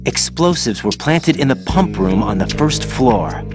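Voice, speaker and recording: An actor playing an earnest, inquisitive young special operations soldier in a video game. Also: male voice, a little high, sing-song, measured pace, creaky, over music, heavily acted. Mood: earnest, puzzled. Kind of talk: dramatic game voice acting.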